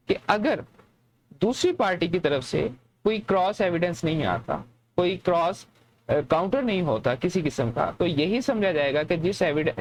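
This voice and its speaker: Pakistani woman lecturing over background noise. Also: low-pitched. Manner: didactic, repeats points and poses questions then answers them. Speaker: law lecturer. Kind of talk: explanatory lecture mixing in English legal terms.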